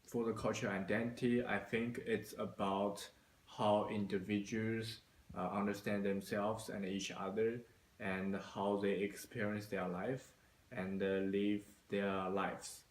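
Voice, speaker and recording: A man from China, a university student, answering interview questions slowly with many hesitations. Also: lowish voice, little pitch movement, roomy sound, a little hiss or hum. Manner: slow, hesitant, many fillers.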